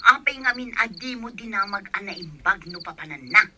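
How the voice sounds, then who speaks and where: A woman's voice: medium pitch, expressive, normal speed, bright, forceful tone, a little hiss or hum. A woman playing a stern, bossy, scheming village woman in an Ilocano radio drama, with an Ilocano accent. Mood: scolding, bossy.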